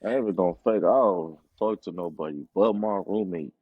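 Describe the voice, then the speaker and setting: A young college football player from Cleveland speaking plainly in short phrases. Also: male voice, low-pitched, brisk, breathy edge, no echo, phone-quality sound. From Cleveland, Ohio. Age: young adult. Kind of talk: casual podcast chat.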